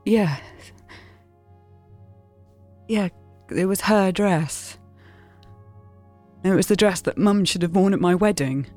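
An actress playing a wistful, anguished mother in an audio drama, speaking in a halting, emotional way. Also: on the low side, a little mellow, natural voice. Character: wistful, anguished mother reviewing her memories. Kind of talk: naturalistic audio drama acting. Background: music.

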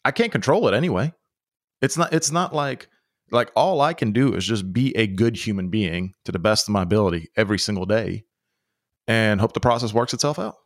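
A man in his thirties hosting an interview, relaxed and conversational, with lots of filler words. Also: on the low side, a little fast, no room sound, clean studio sound. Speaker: podcast host. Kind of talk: casual interview.

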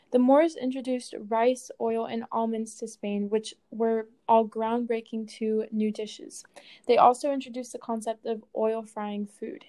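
Bright-toned young woman co-hosting a podcast. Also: fairly high pitch. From Colombia. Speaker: podcast co-host. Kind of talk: scripted podcast reading.

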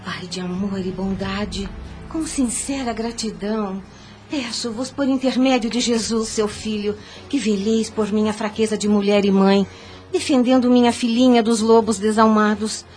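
A woman's voice: mid-range voice, fairly even intonation, moderate pace, darkish tone, soft background music, close to an everyday voice. An actress playing an anguished, devout mother in a radio soap opera, speaking in a formal, pleading and emphatic way. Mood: prayerful. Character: anguished, devout mother pleading to protect her young daughter.

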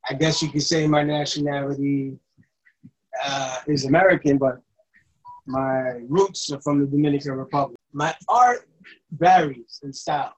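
Relaxed, chatty man from New York. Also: a little high, moderate pace, rough recording. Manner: relaxed, conversational, with "you know what I'm saying" asides. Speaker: guest artist. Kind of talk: casual self-introduction.

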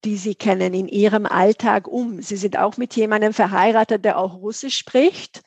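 A woman's voice: mid-range voice, steady tone, moderate pace, a little mellow, husky, no room sound. A woman interviewing a guest, asking questions in a polite, formal way.

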